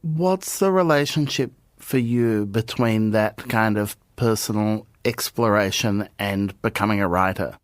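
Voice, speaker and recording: Low-voiced male podcast host. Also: unhurried, few pauses, no room sound, clean studio sound. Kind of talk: interview questions.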